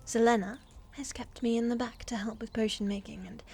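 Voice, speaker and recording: Woman acting a shy, high-voiced witch's apprentice. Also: steady tone, brisk, mellow, slightly rough. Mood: eager, sweet. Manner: polite, hesitant, earnest, eager to please. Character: shy, eager, sweet young female witch's apprentice. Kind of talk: cinematic ASMR fantasy roleplay.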